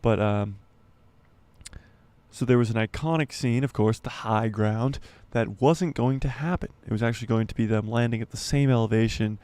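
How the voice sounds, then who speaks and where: A man hosting a casual livestream, reading an article aloud and commenting as he goes, stumbling and correcting himself, his voice going hoarse. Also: medium pitch, normal speed, darkish tone, no echo. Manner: rambling, stumbles and self-corrects, laughs at himself. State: losing his voice, clearing throat. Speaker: YouTube livestream host.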